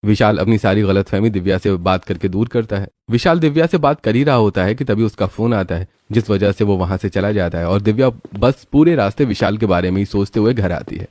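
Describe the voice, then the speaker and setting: A man's voice: on the low side, lively intonation, a little fast, hardly any pauses, a little mellow, no echo. A man narrating a scripted moral story as a storyteller.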